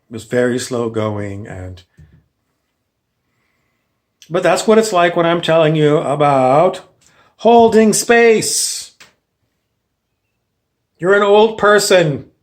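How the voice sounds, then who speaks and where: Guy with a high voice doing a casual rambly talk, animated, acts out what people say. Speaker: speaker giving an informal teaching. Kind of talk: casual rambling monologue with anecdotes.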